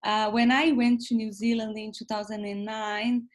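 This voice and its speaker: A woman's voice: on the high side, little pitch movement, moderate pace. A woman in her thirties, not a native English speaker, co-hosting a casual chat and speaking hesitantly with many fillers and restarts. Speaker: co-host.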